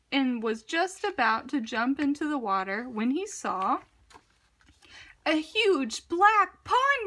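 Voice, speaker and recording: A woman reading a children's picture book aloud in an expressive, deliberate, dramatic narration style. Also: high voice. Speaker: storybook reader.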